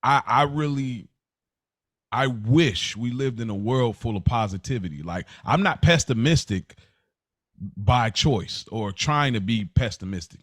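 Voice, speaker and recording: A man's voice: mid-range voice, expressive, unhurried, a bit bright, no echo, studio quality. A man co-hosting a podcast in casual, reflective talk, earnest and emphatic, with colloquial phrasing. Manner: earnest, emphatic, colloquial, often says "bro". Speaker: podcast co-host.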